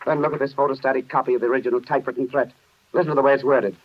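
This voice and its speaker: An actor playing a stern, forceful city mayor in a 1930s radio drama, clipped and accusing. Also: male voice, medium pitch, fairly even intonation, racing, slight background noise, lightly acted. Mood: angry, demanding. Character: stern, demanding city mayor under pressure. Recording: muffled vintage 1930s radio recording.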